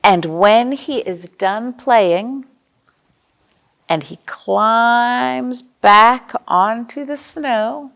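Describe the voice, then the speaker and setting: A woman's voice: on the high side, drawn-out words, creaky, fairly bright tone. A woman hosting a storytime for young children as a librarian, speaking expressively and putting questions to her young listeners.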